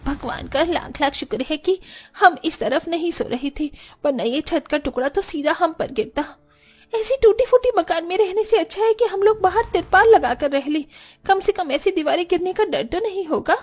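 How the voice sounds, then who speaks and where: Plaintive, high-voiced village daughter-in-law played by a woman. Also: moderate pace, darkish tone. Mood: weary, plaintive. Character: weary, poor village daughters-in-law in a family tale. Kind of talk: animated Hindi storybook dialogue.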